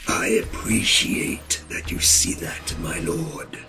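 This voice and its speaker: A man voicing a bloodthirsty vampire inquisitor who serves his lord, with a breathy, hissing rasp and drawn-out menace in a fantasy audio drama. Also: fairly low pitch, big pitch swings, full character voice. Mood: menacing deference. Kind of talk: theatrical dark fantasy audio drama acting. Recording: roomy echo. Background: music.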